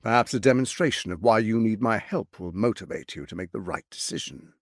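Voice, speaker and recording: A man's voice: on the low side, animated, brisk, no room sound, clean studio sound. A man playing a suave, scheming, arrogant tyrant lord in a video game, with a pompous, silky, theatrical delivery. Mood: persuasive.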